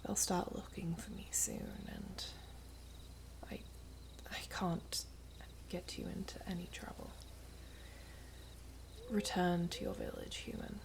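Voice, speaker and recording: A woman playing a wistful, lovelorn elven princess in a whispered ASMR roleplay, soft, breathy and halting. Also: lowish voice, level tone, low-quality recording. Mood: pleading, torn.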